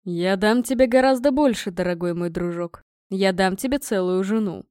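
A woman's voice: mid-range voice, moderate pace, a little mellow, clean tone, no echo, studio quality, close to an everyday voice. A woman reading the part of a smug middle-aged king, a teasing father with an offhand, philosophical manner. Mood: teasing, pleased. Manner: chuckling, casual, offhand.

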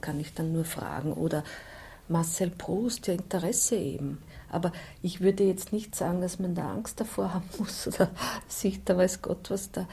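Husky, mellow woman in conversation. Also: fairly low pitch. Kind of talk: casual interview answer on literature.

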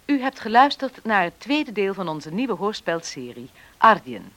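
Lively-pitched woman radio announcer. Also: a little slow. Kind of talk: scripted closing announcement.

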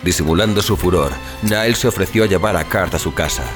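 A man's voice: low-pitched, fast, over music. A man narrating a scripted story in a dramatic audio fiction style.

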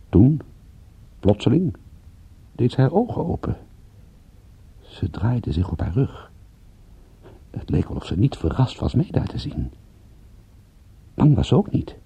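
A man playing a world-weary, tender private detective in a radio drama, speaking in a measured, intimate way. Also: low voice, gravelly, slightly rough, lo-fi sound, heavily acted. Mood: hushed, tender. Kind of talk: radio drama acting with first-person narration.

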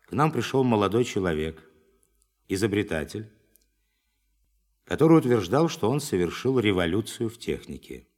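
An actor playing a forceful science official who addresses a meeting formally and emphatically in a radio play. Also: male voice, fairly low pitch. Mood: grave, formal.

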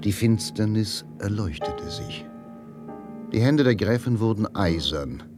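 An actor narrating a radio drama as a grave, literary storyteller. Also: male voice, fairly low pitch, lively intonation, measured pace, over loud music. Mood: dramatic.

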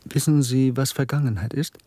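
A man acting in a German radio drama, playing a brooding, bitter party clerk who is secretly a rebel, speaking in an intense, deliberate way. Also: mid-range voice, fairly even intonation, warm tone, dry recording, lightly acted. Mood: guarded, explanatory.

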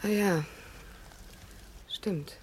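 A woman's voice: mid-range voice, drawn-out words, darkish tone, slightly rough. An actress playing a direct, friendly young woman in conversational radio drama style. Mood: uneasy.

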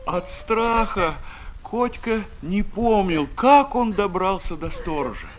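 A man narrating a children's audio tale as an earnest storyteller, measured and with dramatic pauses. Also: high-pitched, sing-song, heavily acted. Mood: hushed, sympathetic. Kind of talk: scripted narration. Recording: 1970s flexi-disc recording.

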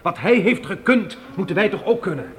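An actor playing a determined pioneer farmer leading a wagon train in a radio play, earnest and declamatory. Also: male voice, high voice, lively intonation, brisk. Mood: resolute. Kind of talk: Dutch radio play acting. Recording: noisy old radio play recording.

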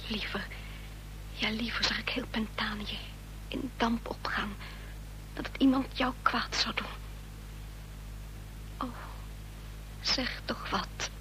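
An actress playing a devoted, anxious lover in a radio play, pleading in a breathy whisper. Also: female voice, a little high, fairly even intonation, slow, pauses often, bright, forceful tone, rough recording. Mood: anguished. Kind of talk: intimate radio play acting.

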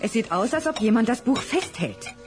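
A mother who is suspicious and insistent. Her intonation is very animated, set in a children's audio play with faint music behind. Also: a little high, normal speed. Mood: suspicious, stern.

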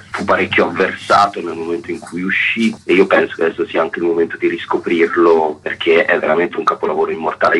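A man's voice: low voice, pushed and bright, slightly rough, rough recording. A man being interviewed as a theatre director, explaining his work in an earnest, effusive way.

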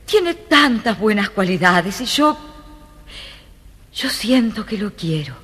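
A tender, expressive young storybook heroine. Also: female voice, mid-range voice, slow, husky, heavily acted. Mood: anguished, loving. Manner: earnest, theatrical. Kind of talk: scripted children's audio storybook acting.

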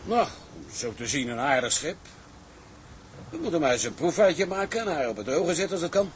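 Brief: plain-spoken sailor partner, lightly raised pitch, measured, understated, faint background noise. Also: male voice, sing-song, low-quality recording. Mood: polite, businesslike. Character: plain-spoken male seaman, appraising business partner. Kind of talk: Dutch audio drama dialogue.

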